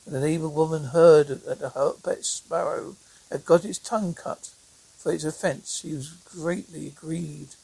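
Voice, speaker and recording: Husky voice, gravelly creak, halting fairy-tale narration, stumbling amateur storyteller. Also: medium pitch, steady tone, mellow, no echo, poor audio. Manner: halting, stumbling over words.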